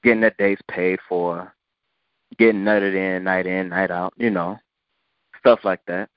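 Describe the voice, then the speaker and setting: A man's voice: deep voice, steady tone, measured pace, no echo. A man hosting a podcast, talking in a blunt, provocative, preachy way. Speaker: podcast host. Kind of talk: casual podcast talk. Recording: dull lo-fi sound.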